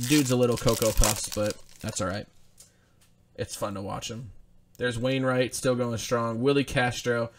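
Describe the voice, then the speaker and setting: A man's voice: mid-range voice, a bit bright. A young man hosting a live card break, chatting casually as he rattles off player names while opening packs at a desk in a small studio. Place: indoor studio with branded backdrop.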